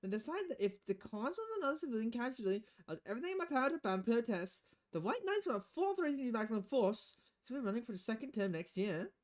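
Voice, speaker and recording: A woman's voice: a little high, sing-song, fast, hardly any pauses. A woman streaming a visual novel, reading the text aloud expressively and often stumbling and correcting herself. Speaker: video game streamer.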